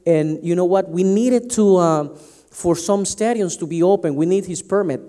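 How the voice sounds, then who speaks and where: A male preacher from Latin America delivering an emphatic sermon, often restarting his phrases. His voice sits high yet sounds mellow and warm. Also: moderate pace. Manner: emphatic, restarts and stumbles over words.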